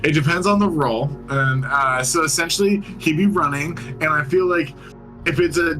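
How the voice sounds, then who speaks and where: A man's voice: a little high, moderate pace, pushed and bright, soft background music, low-quality recording. A man playing a tabletop game, talking casually and loosely as he improvises, with some swearing.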